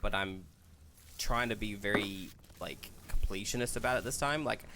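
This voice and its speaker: A man's voice: on the low side, moderate pace, background noise. A man co-hosting a gaming show, chatting casually about video games.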